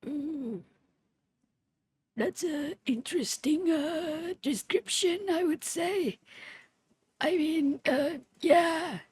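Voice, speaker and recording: A woman giving casual live reaction commentary as a streamer, haltingly, often repeating words and phrases. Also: sits high, a little mellow. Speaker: streamer reacting to game trailers.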